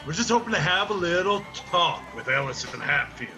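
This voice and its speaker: An actor playing a cocky, hard-drinking young Appalachian hillbilly who teases and defies in a radio drama. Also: male voice, on the high side, lively intonation, moderate pace, pushed and bright, faint music behind, exaggerated voice, low-quality recording. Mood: cocky, teasing.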